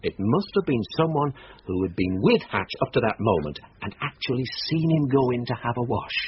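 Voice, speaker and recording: An actor playing a calm, courteous English police inspector in a radio drama, speaking in a measured, dry, formal way. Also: male voice, medium pitch, natural voice. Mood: measured, confident. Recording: dull radio drama recording with faint noise.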